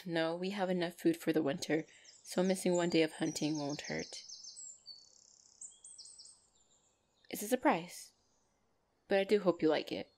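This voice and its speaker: A woman playing a gentle, shy orc lover in an ASMR roleplay, speaking softly and hesitantly with tender pauses. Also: fairly low pitch, steady tone, a little hiss or hum, natural voice. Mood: affectionate, slightly nervous.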